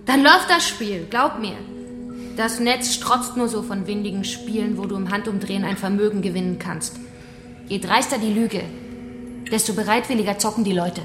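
An actress playing a controlled, taunting kidnapper who runs a betting game in a radio crime drama. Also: female voice, medium pitch, moderate pace, lightly acted. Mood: mocking. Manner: controlled, taunting, matter-of-fact. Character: cool, mocking female kidnapper running a betting game. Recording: echoing room with music behind.

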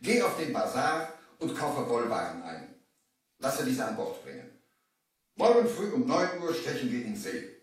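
A man's voice: medium pitch, sing-song, measured pace. A man playing a young merchant who narrates his sea adventure theatrically in a 1970s children's radio play. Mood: earnest, uneasy. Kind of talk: dramatic scripted storytelling in 1970s radio play. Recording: very echoey, slightly distant vinyl transfer.

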